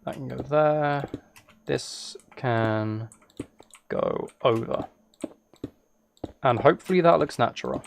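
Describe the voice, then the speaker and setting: Male game streamer, medium pitch, casual rambling commentary, noisy room tone with typing and clicks. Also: slow pace, pauses often. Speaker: live streamer. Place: indoors at a computer. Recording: clear background noise, keyboard and mouse clicks.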